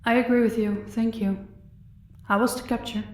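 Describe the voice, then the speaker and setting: A woman's voice: on the high side, flat delivery, moderate pace, a little mellow, far from the mic, close to an everyday voice. A woman playing a sadistic crime boss in an audio roleplay, condescending and politely menacing as she toys with her captive. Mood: composed, courteous. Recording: echoey room, away from the mic.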